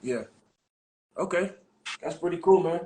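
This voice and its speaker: Laid-back American man hosting, slightly higher voice. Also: measured pace. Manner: relaxed, easygoing, slangy with many fillers. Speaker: podcast host. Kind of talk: casual podcast interview. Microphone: remote live-stream call.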